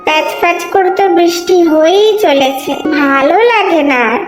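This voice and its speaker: A woman's voice: very high-pitched, normal speed, roomy sound, over music. A woman voicing a practical, grumbling housewife and mother in a cartoon, fussing in a matter-of-fact way. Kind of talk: cartoon dialogue.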